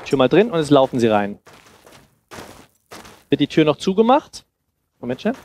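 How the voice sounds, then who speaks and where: A man in his thirties giving an informal demonstration talk into a headset mic, explaining haltingly and thinking aloud. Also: a little high, sing-song, a little mellow. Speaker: conference speaker on sound design. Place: seated on a sofa in a festival room. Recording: clear background noise and ambience.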